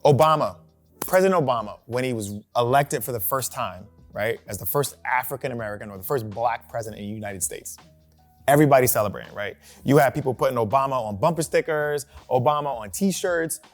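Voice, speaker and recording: A man hosting a talk show, posing questions to the panel in an animated way and often checking in with "right?". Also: mid-range voice, fairly quick, soft background music. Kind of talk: moderating a discussion.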